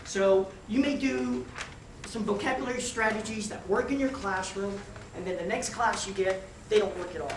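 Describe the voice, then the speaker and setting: A woman presenter who is unwell and a little raspy, lecturing teachers at a workshop with jokes and direct asides to the group. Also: mid-range voice, moderate pace. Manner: joking, addresses the group directly. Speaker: training presenter. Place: school meeting room. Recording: roomy, distant mic, clear noise.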